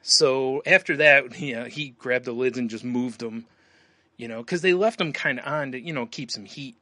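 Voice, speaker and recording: A man's voice: medium pitch, normal speed, sharp tone, no room sound, studio quality. A man co-hosting a podcast, telling a rambling story in casual chat with lots of fillers and frequent laughs. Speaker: podcast co-host.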